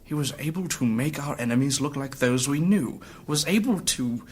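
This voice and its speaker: A grave, battle-worn fantasy adventurer. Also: male voice, a little high, animated, moderate pace, husky. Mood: grim, haunted. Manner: solemn, formal archaic phrasing. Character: grave battle-worn adventurer recounting past horrors, courteous, guarded. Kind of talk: dramatic audio drama acting, storytelling.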